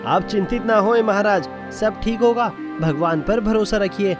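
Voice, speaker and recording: Man acting a high-voiced, dutiful royal minister. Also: measured pace. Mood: reassuring. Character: loyal, reassuring royal minister, dutiful and deferential. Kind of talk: Hindi moral-story cartoon dubbing. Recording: voice over prominent guitar-like music.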